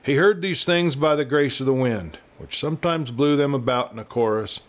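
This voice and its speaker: Male storyteller for western audiobook narration, mid-pitched, deliberate and expressive delivery.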